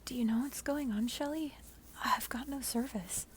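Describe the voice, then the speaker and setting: Woman acting a hushed, bewildered, alarmed homeowner. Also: on the high side, normal speed, slight background noise, voice pushed up, lightly acted. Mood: uneasy. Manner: hushed, urgent, stammering. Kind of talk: whispered horror story acting.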